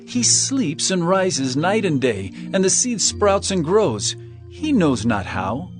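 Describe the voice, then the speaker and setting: A commanding bible teacher preaching parables, highish lively voice, emphatic, music behind. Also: male voice, slow pace. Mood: instructive. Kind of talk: dramatized scripture reading.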